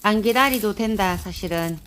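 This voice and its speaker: A woman talking loudly and casually outdoors. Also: mid-range voice, normal speed, slight background noise. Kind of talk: casual chat.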